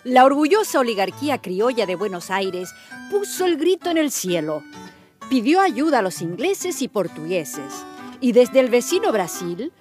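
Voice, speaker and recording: A woman's voice: a little high, big pitch swings, moderate pace, a little mellow. Solemn woman narrating over music. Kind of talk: dramatic scripted radio narration. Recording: clear music behind the voice.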